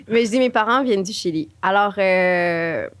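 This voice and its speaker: A woman's voice: mid-range voice, moderate pace, smooth. Casting: young female podcast co-host, Quebec French accent, mid-range voice, slangy, bilingual asides. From Quebec, Canada, of Chilean parents. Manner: slangy, mixes English phrases into French. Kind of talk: casual conversational podcast chat.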